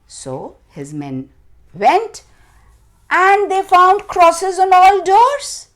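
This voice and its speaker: A woman storyteller narrating for children with dramatic, deliberate emphasis and questions to her listeners. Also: extremely high pitch, big pitch swings, a bit bright.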